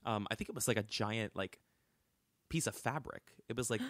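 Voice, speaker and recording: A young man co-hosting a podcast, telling a story in a chatty, animated way with lots of "like" and restarts, on a close studio mic. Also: lowish voice, normal speed, no room sound. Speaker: podcast co-host. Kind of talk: casual storytelling chat.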